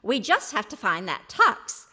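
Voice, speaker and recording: Woman acting a bright, teasing old wife. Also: medium pitch, huge pitch swings, measured pace, breathy edge, full character voice. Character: good-natured, teasing old retired wife. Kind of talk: old-time radio comedy acting.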